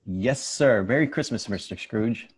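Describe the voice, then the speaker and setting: Guy playing a polite timid office clerk, kinda low and raspy, table read of a christmas story. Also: a little slow. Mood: polite, deferential. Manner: deferential, hesitant. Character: meek, polite, hard-working underpaid office clerk.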